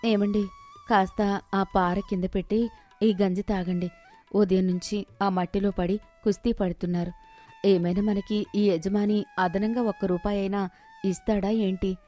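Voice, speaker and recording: A woman's voice: medium pitch, flat delivery, a little slow, warm tone, faint music behind, lightly acted. A woman voicing a gardener's poor, long-suffering village wife in a story, plaintive and outspoken. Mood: worried, complaining.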